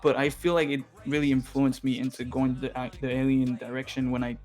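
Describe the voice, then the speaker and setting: A man's voice: mid-range voice, level tone, fairly quick, a little mellow, soft background music. A man whose first language is Spanish, chatting as a podcast guest over a call, hesitant and full of filler sounds, laughing often. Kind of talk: casual chat.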